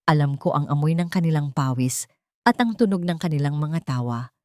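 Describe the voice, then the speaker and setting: A woman voicing a humble but brave, devoted nanny in an audio drama, speaking clearly, politely and with feeling. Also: deep voice, expressive, moderate pace, darkish tone, dry recording, studio quality. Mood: heartfelt, brave. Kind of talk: Tagalog audio drama acting.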